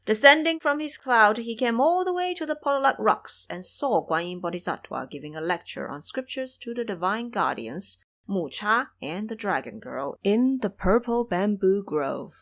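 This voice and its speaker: Casting: female narrator for an audio drama, mid-range voice, highly expressive storybook reading. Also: normal speed, few pauses.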